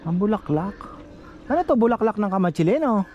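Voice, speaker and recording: A man's voice: high voice, big pitch swings, brisk, dull audio. A man giving a casual farm tour, chatting to his viewers as fellow farmers. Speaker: farm vlogger. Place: outdoors on a farm. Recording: noisy outdoor phone recording.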